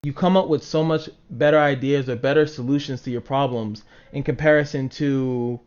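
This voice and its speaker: A man's voice: medium pitch, fairly even intonation, moderate pace, a little mellow, clean tone. A man rambling casually to livestream viewers in an informal, sweary way, acting out reactions as he goes. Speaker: livestream host talking to chat viewers. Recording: dull, lo-fi microphone sound.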